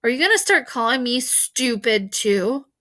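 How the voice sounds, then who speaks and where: A woman's voice: on the high side, big pitch swings, moderate pace, fairly bright tone. A woman reading a storybook, playing cheeky, curious young schoolboys who ask questions with mild indignation. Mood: indignant, cheeky. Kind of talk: storybook read-aloud character voice.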